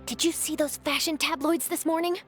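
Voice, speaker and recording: Actrice als bevlogen jonge verslaggeefster, heel hoge stem. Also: female voice, high-pitched, fairly even intonation, a little fast, soft background music, natural voice. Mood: earnest, concerned. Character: earnest, spirited young woman newspaper reporter. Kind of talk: scripted video game voice acting.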